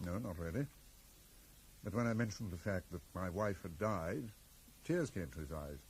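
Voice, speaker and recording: An actor playing a middle-aged, very correct British professor in a radio drama, guarded, brusque and easily affronted. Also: male voice, low voice, animated, moderate pace. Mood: dry, reserved. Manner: stiff, formal, brusque. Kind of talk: 1980s radio drama acting. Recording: faintly noisy 1980s radio broadcast.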